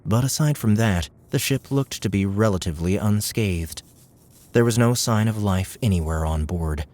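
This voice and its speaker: A man's voice: lowish voice, normal speed, a little mellow. A man reading scripted fiction as an audiobook narrator.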